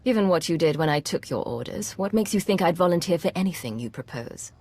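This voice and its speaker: A woman's voice: lowish voice, lively intonation, fast, a little mellow, slightly rough. A woman playing a cool, guarded secret agent in a video game, with terse, controlled lines. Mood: wary. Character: cool, self-reliant female secret agent, loyal and guarded.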